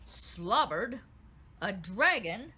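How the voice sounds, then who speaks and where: A woman's voice: mid-range voice, very animated, extremely slow, bright, forceful tone, husky, full character voice. A skeptical guard captain, read by a woman.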